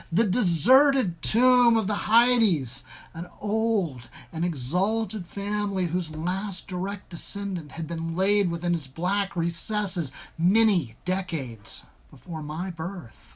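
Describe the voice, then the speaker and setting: A man reading a story as a bookish, defensive gentleman confined for madness, in a formal, deliberate, ornate manner. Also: sits high. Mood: brooding. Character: confined gentleman dreamer, bookish, defensive, haunted first-person narrator.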